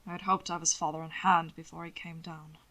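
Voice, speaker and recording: A woman voicing a suave, devoted gentleman husband in an audiobook, speaking in a measured, formal, protective way. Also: little pitch movement, exaggerated voice. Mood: dry, composed. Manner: measured, formal, low-key. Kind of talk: audiobook character voice. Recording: occasional static crackle from microphone.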